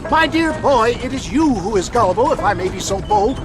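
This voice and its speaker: Pompous, high-pitched old snake-oil salesman. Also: lively intonation, a little fast. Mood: defensive, preachy. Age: older. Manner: florid, pompous, theatrical orator.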